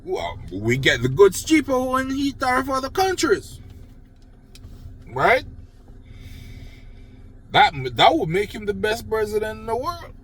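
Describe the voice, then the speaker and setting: A man's voice: sits high, fairly bright tone, husky. An older man from Jamaica with a Jamaican accent, ranting sarcastically about politics into his phone, blunt and swearing freely. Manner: sarcastic, mocking praise. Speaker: political commentator. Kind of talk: unedited political rant.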